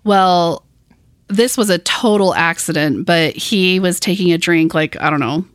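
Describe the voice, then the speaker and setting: A woman co-hosting a podcast in casual chat, lively and quick to laugh, a little off from allergies. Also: lowish voice, no echo, studio quality. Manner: chatty, laughs often, lively storytelling. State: dry throat from allergies. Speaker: podcast co-host. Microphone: podcast mic in a small room.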